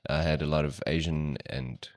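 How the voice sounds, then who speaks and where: A man's voice: extremely low pitch, steady tone, normal speed, darkish tone, no echo. A young man hosting a podcast, an Afrikaans speaker. He talks in a laid-back, slangy way, saying dude and bro. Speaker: podcast host. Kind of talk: casual interview chat.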